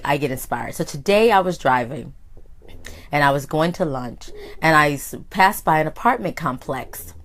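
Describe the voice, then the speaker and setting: A woman with a low, hoarse voice hosts a live motivational broadcast. She calls out viewers by name and her voice jumps up in bright, excited exclamations. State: hoarse, deeper voice than usual. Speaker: host and life coach. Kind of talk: live-streamed motivational talk.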